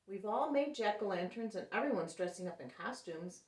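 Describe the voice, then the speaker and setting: A woman voicing an eager, easily discouraged little boy cat in a storybook, with a pleading, excitable manner. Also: medium pitch, normal speed, lightly acted. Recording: roomy echo, distant microphone.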